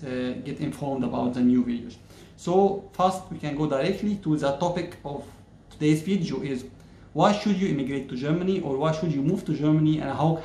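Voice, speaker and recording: A man talks straight to the camera, slow and measured, with frequent "uh" pauses. His voice sits a little high for a man. The plain room around him rings with echo, and a faint hum sits underneath. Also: darkish tone. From Egypt. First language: Arabic. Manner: measured, many "uh" pauses, gestures with hands. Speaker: YouTuber. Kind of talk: casual explainer talking to camera. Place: plain-walled indoor room.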